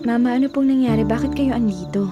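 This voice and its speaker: A woman's voice: on the high side, fast, highs cut off, close to an everyday voice. A woman playing a sweet, hesitant young woman with a pleading manner in a radio drama. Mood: anxious. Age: young adult. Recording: music behind the voice. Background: music.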